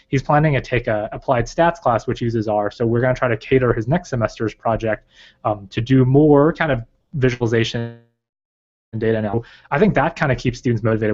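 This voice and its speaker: A man, raised in China with Chinese as his first language, speaks in a middling register as a guest in a relaxed interview. He thinks aloud, rambling through ums and likes. The sound is a bit muffled and lo-fi. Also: mid-range voice. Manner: thoughtful, rambling, many fillers. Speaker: guest, research advisor. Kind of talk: casual interview talk. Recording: slightly dull lo-fi recording.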